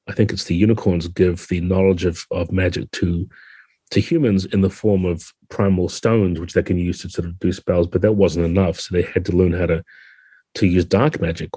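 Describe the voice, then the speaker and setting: A man's voice: sits low, fairly even intonation, moderate pace, dark tone, husky, no echo. A man with a New Zealand accent explaining things conversationally as a guest in a casual podcast interview. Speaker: podcast guest, tabletop game designer.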